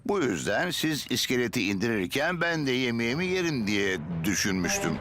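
A man's voice: medium pitch, very animated, normal speed, fairly bright tone. A man voicing a chatty, fussy onlooker in a dubbed children's cartoon. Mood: explaining. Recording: clear music under the voice.

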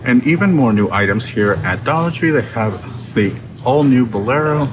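A man's voice: medium pitch, expressive, moderate pace. Upbeat man narrating a store walkthrough. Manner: chatty, upbeat, reads product labels aloud with fillers. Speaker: shopping vlog host. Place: inside a large discount store. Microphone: handheld phone camera. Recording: phone recording with store background noise. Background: store ambience.

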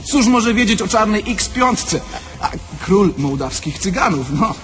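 A dead young petty crook, naive n pleading, bit high voice, stage farce, music behind. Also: male voice, lively intonation, a little slow. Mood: earnest. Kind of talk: theatrical tragifarce dialogue.

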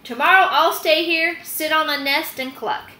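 A woman as an earnest, high-pitched storybook peacock. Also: moderate pace, sharp tone, echoey room. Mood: eager. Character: eager male peacock, showy, earnest, wanting to be useful.

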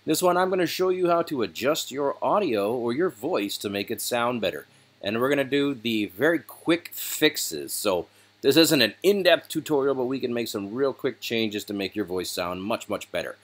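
A man hosting a software tutorial, explaining each step in a casual, relaxed way over a screen recording. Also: mid-range voice, moderate pace.

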